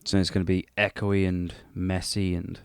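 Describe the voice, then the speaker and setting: A man's voice: deep voice, level tone, normal speed, clear, resonant tone, no echo. A man presenting a casual, unscripted music production tutorial, rambling hesitantly and a little self-deprecating.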